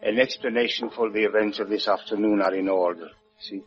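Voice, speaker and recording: An actor playing an earnest, courteous revolutionary with a Spanish accent, formal and solemn, in 1950s radio drama style. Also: male voice, on the low side, fairly even intonation, natural voice. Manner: formal, deliberate, polite. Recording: muffled old 1950s radio recording.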